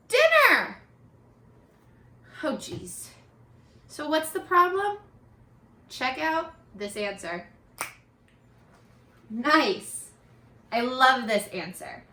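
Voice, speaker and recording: Animated, bright-voiced woman reading to children. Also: high-pitched, extremely slow, long pauses. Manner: expressive, exaggerated, encouraging toward young listeners. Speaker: teacher reading a picture book aloud. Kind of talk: animated children's read-aloud with questions to listeners. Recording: echoey, roomy sound.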